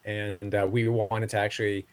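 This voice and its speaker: A man's voice: on the low side, level tone, moderate pace. A man in his forties, a podcast guest, talking casually with many fillers and restarts. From Southeast Asia. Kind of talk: casual interview talk.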